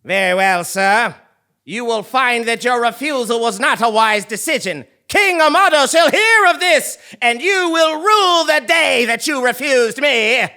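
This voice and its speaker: A man's voice: very high-pitched, lively intonation, measured pace, bright, forceful tone, smooth, full character voice. A man playing a pompous, easily outraged royal tax collector in a comic stage play, speaking with formal indignation. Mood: ominous.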